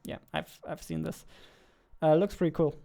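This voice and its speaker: A man in his twenties, a game developer chatting playfully with his viewers while playing, on a studio mic in a small dark room. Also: fairly high pitch, moderate pace, vocal fry. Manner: playful, excitable, talks back to the chat. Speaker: game developer streaming his daily devlog. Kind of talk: casual live-stream chat while playing. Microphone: studio mic on a boom arm, wearing headphones.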